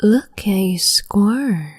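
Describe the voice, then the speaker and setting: A woman voicing a cruel, capricious empress in an ASMR roleplay, speaking slowly and haughtily in a half-whisper close to the microphone. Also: mid-range voice, expressive, husky, no room sound, full character voice. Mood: haughty, taunting.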